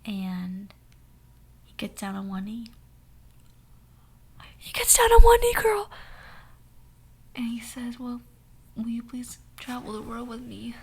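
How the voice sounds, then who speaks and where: A young woman with a hoarse voice telling a sassy, dramatic story in a soft whisper. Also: on the high side, animated, slow pace, pauses often. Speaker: ASMR storyteller. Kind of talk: whispered ASMR story time.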